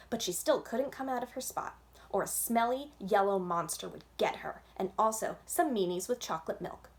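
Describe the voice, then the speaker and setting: Expressive woman reading a children's story. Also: mid-range voice, vocal fry. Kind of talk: expressive first-person children's book narration.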